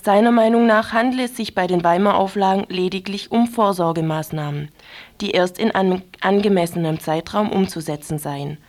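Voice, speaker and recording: A woman's voice: mid-range voice, moderate pace, a little mellow. A woman reading scripted news on the radio.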